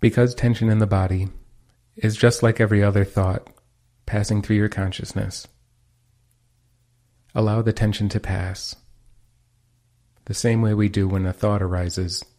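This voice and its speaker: A man leading a guided meditation as its teacher, giving calm, unhurried instructions. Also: fairly low pitch.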